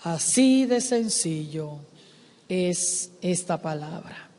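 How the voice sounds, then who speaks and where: A woman preaching a sermon in a church, emphatic, repeating her phrases and rising to shouts. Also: lowish voice, animated, takes it slowly. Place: church sanctuary. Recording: long hall reverb.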